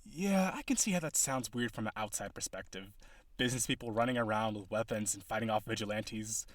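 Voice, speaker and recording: A man's voice: lowish voice, no room sound. A man playing a cocky, flirtatious businessman villain on a date in an audio roleplay, with a sardonic, teasing, conversational delivery. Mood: smug, sardonic.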